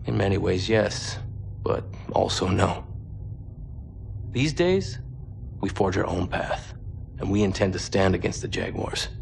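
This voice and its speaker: Gravelly, resolute mercenary commander. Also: male voice, low voice, a little hiss or hum, exaggerated voice. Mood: stern, measured. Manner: formal, measured, commanding. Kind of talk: dramatic video game cutscene acting. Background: dramatic music.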